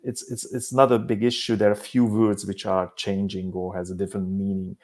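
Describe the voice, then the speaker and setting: Hungarian-accented man, halting speech. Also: on the low side, flat delivery, a little mellow. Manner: halting, many restarts and repeated words. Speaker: guest, business simulation company head. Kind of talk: casual discussion.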